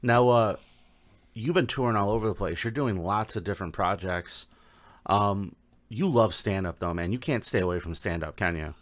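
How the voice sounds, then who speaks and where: A man's voice: fairly low pitch, normal speed. A man hosting a casual interview, asking eager, upbeat questions. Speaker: podcast host.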